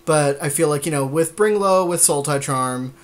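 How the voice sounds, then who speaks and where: A man's voice: on the high side, moderate pace. A man co-hosting a podcast, talking casually through card game strategy in a tentative, reasoning-aloud way. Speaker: podcast co-host.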